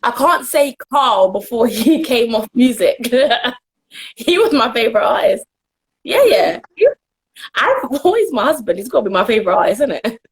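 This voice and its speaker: A woman's voice: fairly high pitch, big pitch swings, normal speed, slightly rough. A young woman from Jamaica, a guest in a casual interview, answering earnestly with frequent laughs and restarts. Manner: earnest and giggly, trails off and restarts.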